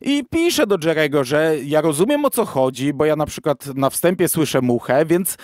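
Podcast host: man, voice a little above average pitch, casual explainer, very dry sound. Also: lively intonation, normal speed, a little mellow, no room sound.

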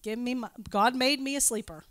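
A woman's voice: a little high, fairly quick, a bit bright. A woman giving an informal sermon with personal anecdotes, talking in a conversational, self-deprecating and giggly way. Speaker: preacher speaking at a church gathering.

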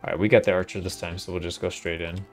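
A man's voice: deep voice, little pitch movement, fast, over music. A man giving casual gameplay commentary, thinking aloud in a laid-back way as he hosts a playthrough. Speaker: host of a gaming playthrough.

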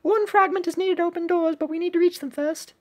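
A woman's voice: very high voice, steady tone, fast talker, a little mellow, voice pushed up. A woman voicing a small, timid, helpful young cookie from a mobile game, earnest and cutesy in an amateur read-aloud.

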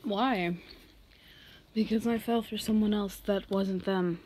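A woman playing a reserved office boss who is guarded but softening, speaking haltingly in an intimate ASMR roleplay. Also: mid-range voice, steady tone, slight background noise, close to an everyday voice. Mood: confiding, hesitant. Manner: halting, measured delivery with sighs.

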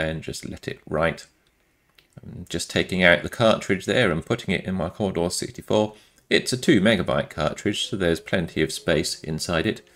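A man with a low, gravelly voice narrates an informal tech demo. He explains his own hardware in a matter-of-fact way, as a maker would. Faint music plays behind him.

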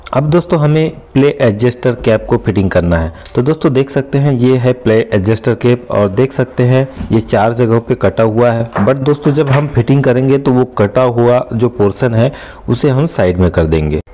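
Male mechanic host, mellow and soft-toned, friendly how-to delivery, recorded on a camera inside a machine cab. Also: medium pitch, normal speed. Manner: friendly instructional, keeps addressing viewers as friends. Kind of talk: step-by-step repair tutorial. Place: excavator cab, outdoors work site.

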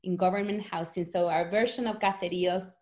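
A woman's voice: lowish voice, fairly quick. A woman in her forties from Argentina with an Argentine accent, talking earnestly and reflectively about home in a video-call interview. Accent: Argentine, from Rosario. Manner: earnest, reflective, speeds up when excited. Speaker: author. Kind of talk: conversational interview. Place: small home room.

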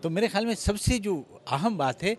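Pakistani man in his 50s, a bit high pitched, hesitant, repeats himself, outdoor interview. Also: expressive, a little fast. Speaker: interviewed politician. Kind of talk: political interview. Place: outdoors, parliament forecourt. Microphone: handheld interview microphone.

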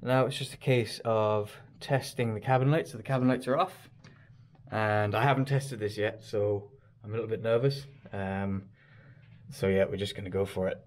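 A man's voice: on the low side, fairly even intonation, normal speed. A man presenting a casual hands-on vlog in a small boat cabin, explaining his work and thinking aloud hesitantly. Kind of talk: casual explanatory vlog talk while working.

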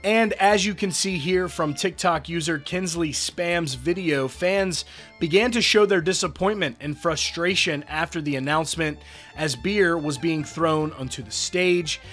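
Upbeat male news host, scripted voice-over, slightly high voice, soft music underneath. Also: unhurried. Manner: upbeat, reads quotes aloud. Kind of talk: scripted entertainment news narration.

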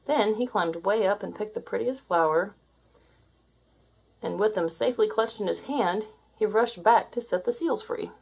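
A woman reading a children's storybook aloud as an expressive, scripted narration. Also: mid-range voice, moderate pace, vocal fry, breathy edge, low-quality recording. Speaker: storybook reader.

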